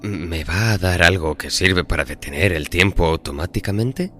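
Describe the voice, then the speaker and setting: Man playing deep-voiced, wary, earnest bargainer. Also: moderate pace, sharp tone. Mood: anxious, questioning. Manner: hesitant, earnest, politely questioning. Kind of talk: audio horror drama acting. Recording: music behind the voice.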